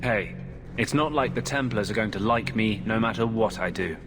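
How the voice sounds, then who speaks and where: A man's voice: fairly low pitch, moderate pace, background noise, close to an everyday voice. An actor playing a sceptical, sardonic male companion in a video game, dry and grumbling. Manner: dry, sarcastic. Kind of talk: video game cutscene acting.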